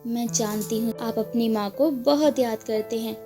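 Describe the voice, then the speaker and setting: Woman acting a high-voiced, earnest young heroine. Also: normal speed, music in the background, lightly acted. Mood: sympathetic. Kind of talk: cartoon dialogue.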